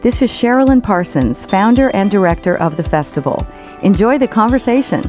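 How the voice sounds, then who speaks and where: A woman's voice: medium pitch, expressive, brisk, a little mellow, soft background music. A woman reading a short scripted welcome announcement for an event.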